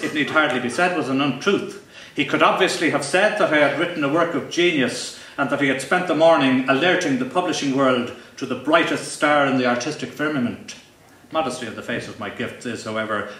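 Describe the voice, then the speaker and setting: Pompous deadpan man reading in a roomy venue. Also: medium pitch, fairly quick. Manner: pompous, deadpan, mock-grandiose. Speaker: author reading from his novel. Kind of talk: live comic literary reading. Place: live event venue. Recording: roomy, distant live recording with faint noise.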